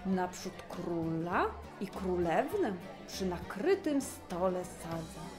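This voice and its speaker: Woman narrator, higher voice, very lively intonation, unhurried rhymed fairy-tale reading, faint music behind. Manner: expressive, theatrical storytelling.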